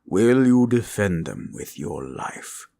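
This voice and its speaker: Man acting as a protective dragon, very deep, heavy fry, unhurried, solemn, formal, gentle, roleplay audio. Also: sing-song, heavily acted. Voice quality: deep, gravelly. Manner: formal, slow, tender, solemn.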